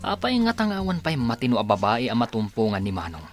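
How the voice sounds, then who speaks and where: Casting: man as earnest younger Filipino son, radio drama; concerned, animated intonation, faint music underneath. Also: medium pitch, fast talker. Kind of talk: Ilocano radio drama acting.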